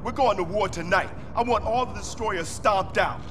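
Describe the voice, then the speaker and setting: A man's voice: high-pitched, moderate pace, background noise, lightly acted. A man playing a determined street gang warlord in a video game, commanding, streetwise and urgent. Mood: resolute. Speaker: gang leader. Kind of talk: gritty video game cutscene acting. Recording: game dialogue over music.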